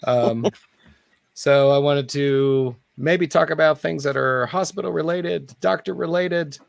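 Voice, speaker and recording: Hoarse man hosting a casual chat. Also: a little high, no room sound. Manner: rambling, halting, easygoing. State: hoarse, losing his voice. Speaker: host. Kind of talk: casual online hangout chat. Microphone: computer mic on a live video call.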